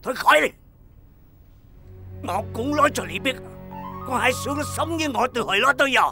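An actor playing a brash troublemaker with a mocking manner, speaking. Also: male voice, very high-pitched, lively intonation, brisk, pushed and bright, exaggerated voice. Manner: mocking then shrieking for help. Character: brash Chinese-Vietnamese man, cheeky troublemaker. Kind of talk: stage drama acting. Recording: music behind the voice.

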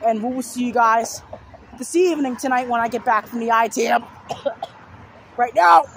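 A hoarse young man with a sore throat rambling through repeated simple lists in a casual vlog, filmed outdoors beside a road on a phone held at arm's length. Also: very high voice, fairly even intonation, moderate pace, a bit bright. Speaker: vlogger. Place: outdoors on a fenced overpass beside a busy road. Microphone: phone held low at arm's length. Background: faint passing traffic.

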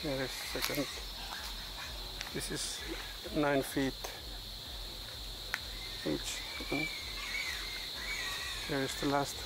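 A man in his forties, a non-native English speaker, vlogging casually in halting, simple phrases into a handheld camera. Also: mid-range voice, flat delivery. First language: not English. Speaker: farm vlogger. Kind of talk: casual vlog talk to camera. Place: outdoors on a rural farm clearing among trees. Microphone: camera held at arm's length. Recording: loud insects and animal noise outdoors.

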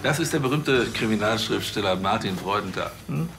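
An actor playing a brash, bullying police chief who talks in slang in a crime comedy. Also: male voice, lowish voice, expressive, brisk, music in the background. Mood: breezy, showing off.

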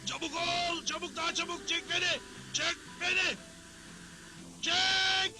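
A man voicing an indignant, excitable old frontier judge in a western cartoon dub, with a blustery, emphatic delivery. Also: extremely high pitch, measured pace, music in the background. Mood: urgent.

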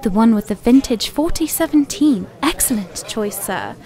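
An actress playing a courteous, formal waitress at an upscale restaurant in an audio drama. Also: female voice, a little high, lively intonation, moderate pace, mellow, close to an everyday voice. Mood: polite. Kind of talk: science fiction audio drama acting.

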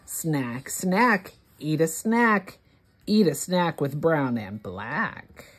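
Very slow, expressive woman reading aloud. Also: low voice, slightly rough. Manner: deliberate, word-by-word, expressive delivery for early readers. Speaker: children's read-along host. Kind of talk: read-aloud of a rhyming picture book for young children.

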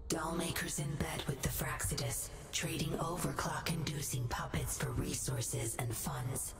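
An actress playing a cunning young woman double agent, teasing and coolly commanding. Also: sits low. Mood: whispered.

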